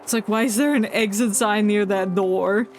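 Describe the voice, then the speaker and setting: A man's voice: sits high, steady tone, normal speed, music in the background. A man commentating casually on a game stream with playful, offhand reactions.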